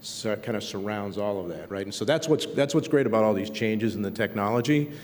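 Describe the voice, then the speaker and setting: A man's voice: fairly low pitch, fairly quick, a little mellow, in a large hall, a little hiss or hum. A man on a discussion panel, speaking with enthusiasm and praise and repeating his phrases.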